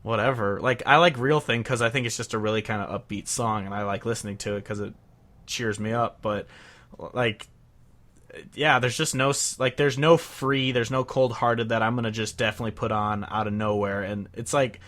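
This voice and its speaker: A young man co-hosting a casual podcast, agreeable and quick to say yeah while giving his own opinions. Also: on the low side, steady tone, a bit bright. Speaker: podcast co-host. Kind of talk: casual chat.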